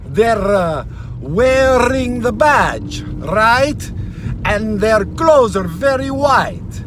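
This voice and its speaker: Middle-aged man, high animated voice, ranting recitation that veers from mutter to yell, recorded in a noisy car. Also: extremely slow. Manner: ranting, erratic, swinging between low muttering and shouting. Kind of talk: theatrical spoken recitation of rock lyrics. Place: inside a moving car. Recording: noisy car interior with engine rumble.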